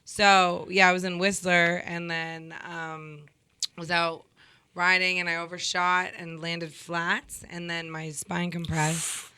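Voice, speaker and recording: A woman in her thirties, a rapper speaking casually in an interview, laid-back and quick to laugh. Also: lowish voice, little pitch movement, sharp tone, clear, resonant tone. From Ontario, Canada. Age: 30s. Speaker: rapper guest.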